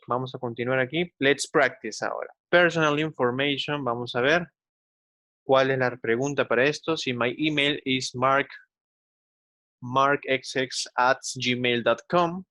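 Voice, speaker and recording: A man teaching an English class for children, slowly enunciating examples and often checking in with short tag questions. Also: mid-range voice, sharp tone, no echo. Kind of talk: instructional online class for children.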